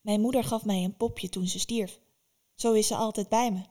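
A woman voicing a polite, timid young girl in a spoken fairy tale, soft and hesitant. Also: mid-range voice, fairly even intonation, normal speed, lightly acted. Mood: calm.

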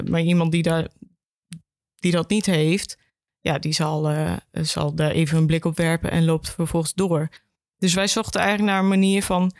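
A woman with a Netherlands Dutch accent explains conversationally as a podcast guest, thoughtful and halting, voicing imagined reactions. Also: on the low side, creaky, no echo, clean studio sound. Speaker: education officer at a regional archive, interview guest.

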